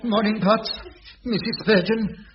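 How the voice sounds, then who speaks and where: An actor playing a pompous, nervy, bewildered boss in a radio sitcom. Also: male voice, high-pitched, fairly even intonation, unhurried. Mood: frayed. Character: exasperated, nervy male boss of caretaker. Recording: dull, noisy vintage radio recording.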